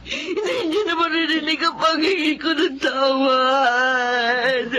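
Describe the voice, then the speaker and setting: A woman's voice: high voice, fairly even intonation, slow, bright, forceful tone, faint music behind, exaggerated voice. A woman playing a distraught, guilt-ridden grown child in a Filipino radio drama, with an emotional, pleading delivery. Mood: weeping, remorseful.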